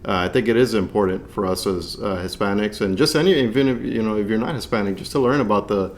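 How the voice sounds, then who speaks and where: Low-voiced man in casual conversation. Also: fast talker. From San Antonio, Texas, United States. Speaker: co-host. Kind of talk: casual movie review discussion.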